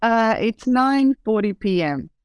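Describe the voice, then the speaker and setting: A woman from Serbia, a native Serbian speaker, answers questions as a podcast guest, friendly and a bit hesitant. Her voice is smooth and clear at a middle pitch, heard dry with no room sound. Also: animated, takes it slowly, no room sound. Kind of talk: casual interview chat.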